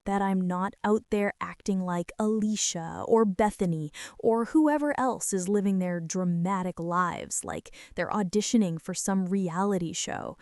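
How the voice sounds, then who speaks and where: A woman playing a shy, quiet, self-doubting high school girl in an ASMR roleplay, speaking softly and hesitantly. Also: no echo, studio quality, natural voice. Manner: soft, hesitant, flustered, often breaking into breathy whispers.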